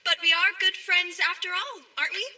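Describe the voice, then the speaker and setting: Very high-pitched, vicious haughty schoolgirl. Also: fairly even intonation, fairly quick, bright, forceful tone, a little hiss or hum, full character voice. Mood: mocking, condescending. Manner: sneering, theatrical, mock-sweet taunts. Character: haughty, vicious academy schoolgirl duelist, taunting and bullying. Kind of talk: dubbed anime acting.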